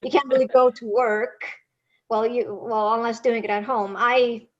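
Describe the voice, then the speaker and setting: A woman with an Icelandic accent, Icelandic being her first language, rambles cheerfully about her writing. She mocks herself often and breaks into laughter. Her voice sits a little high and comes through a dull, lo-fi video call. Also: steady tone, moderate pace. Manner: rambling, self-mocking, frequent laughter. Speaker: podcast guest, author. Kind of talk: casual interview chat.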